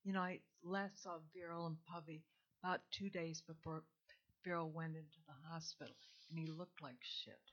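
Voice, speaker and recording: An older woman with a low voice, speaking candidly and chattily to a live audience as an invited speaker. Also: fairly even intonation, moderate pace. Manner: candid, chatty and warm-humored, with soft laughs. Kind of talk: informal talk to a live audience. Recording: dull lo-fi sound.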